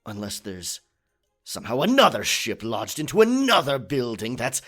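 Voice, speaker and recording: A man playing a boisterous, rum-swigging pirate captain in a roleplay audio drama, with a gravelly voice, theatrical yet hesitant, muttering in pirate slang. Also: medium pitch, huge pitch swings, normal speed, a bit bright, soft background music. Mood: musing.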